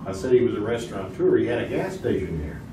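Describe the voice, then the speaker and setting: A man's voice: fairly low pitch, very animated, quick pace. A man playing a chummy 1950s quiz show host with corny puns and playful banter, performed live on a small theater stage. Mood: jokey. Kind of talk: live staged old-time radio comedy. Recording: echoey theater, distant mic, audience noise.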